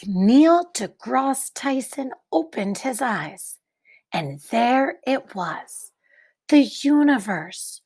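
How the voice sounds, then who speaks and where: A woman reading a picture book aloud like a teacher, expressively, with deliberate dramatic pauses and emphasis for young listeners. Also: a little high, animated, darkish tone, breathy edge. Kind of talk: expressive children's read-aloud narration.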